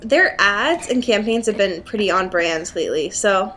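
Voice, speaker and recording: A woman's voice: mid-range voice, expressive, normal speed, a bit bright, slight background noise. A young American woman co-hosting a casual podcast, chatting in slangy, exclamatory, sweary language. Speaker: podcast co-host. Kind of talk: casual chat, recapping a book.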